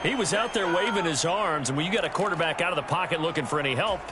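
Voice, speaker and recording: A man's voice: on the high side, animated, quick pace, a loud crowd, very noisy, natural voice. A man with an American accent playing a play-by-play announcer in a football video game, calling the action in short scripted phrases. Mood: steady, businesslike. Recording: loud game sound behind the voice.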